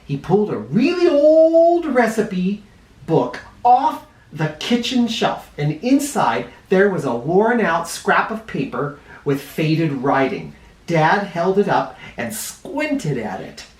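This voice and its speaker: A man reading a children's picture book aloud in an expressive way, with dramatic emphasis. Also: on the high side, slow. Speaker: storybook reader for kids. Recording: roomy echo, distant mic.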